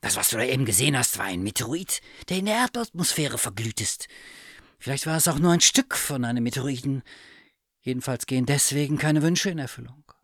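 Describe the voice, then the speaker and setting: A man's voice: mid-range voice, lively intonation, a little fast, a bit bright, no room sound, clean studio sound, voice pushed up. A man voicing a proud, aloof wandering cat with a clipped, haughty manner. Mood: lecturing.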